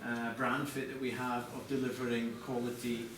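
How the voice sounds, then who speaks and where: A man presenting product design live to a group, explaining in a halting way with corporate phrasing. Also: medium pitch, level tone. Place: large echoey indoor venue, apparently a hotel. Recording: echoey noisy room, distant mic.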